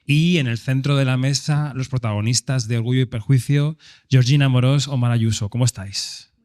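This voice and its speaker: A man's voice: medium pitch, fairly even intonation, fairly quick, a little mellow. A man moderating a festival press panel, introducing guests and asking questions in a chatty, informal way. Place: event hall at a film festival.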